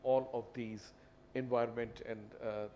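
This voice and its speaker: A man's voice: mid-range voice, level tone, muffled recording. Pakistani guy on a panel, mid voice, keeps saying you know, bit of noise behind. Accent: Pakistani English. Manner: halting, with frequent "you know". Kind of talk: panel discussion. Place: event hall. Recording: faint background noise.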